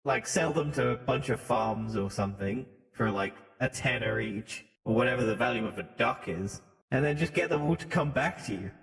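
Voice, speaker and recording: A man's voice: medium pitch, animated, moderate pace, vocal fry. Guy on a podcast joking around and swearing, voice mod on, big echoey reverb. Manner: sweary, irreverent. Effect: voice modulator. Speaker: podcast co-host. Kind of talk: casual joking banter.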